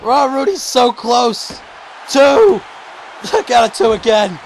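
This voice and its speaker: A man calling a video game wrestling match play-by-play, shouting excitedly and going hoarse. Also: slow pace, some chatter behind, slight background noise, poor audio. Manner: shouting, high-pitched and strained, losing his voice. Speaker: commentator.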